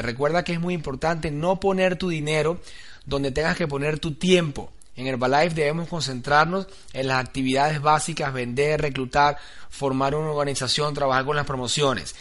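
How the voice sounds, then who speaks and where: A man's voice: on the high side, normal speed, fairly bright tone. A man narrating a scripted sales training audio in an earnest, instructive way. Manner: earnest, instructive, building to an eager introduction. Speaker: training host.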